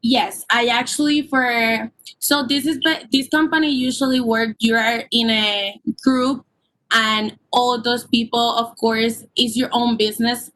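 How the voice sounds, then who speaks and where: A woman of twenty-one, explaining her business plan earnestly at a public hearing, with halting ums, over a call line. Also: a little high, fairly even intonation, a bit bright, rough recording. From Puerto Rico. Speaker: permit applicant. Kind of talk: remote public hearing testimony.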